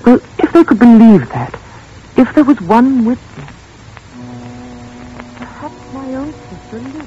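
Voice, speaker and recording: A gentle, anxious spinster, soft and fussing in a 1940s radio drama. She speaks high with wide, lively swings of pitch. The old broadcast sounds dull, with a faint bed of music. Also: a little slow, background noise. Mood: coaxing. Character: gentle spinster woman, Miss Peabody, anxious. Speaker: narrator of diary entries.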